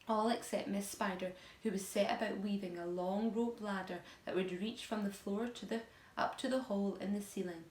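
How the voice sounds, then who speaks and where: Woman reading kids story out loud, expressive, normal pitch, very echoey. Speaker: teacher reading aloud. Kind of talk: expressive children's story narration. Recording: echoey room.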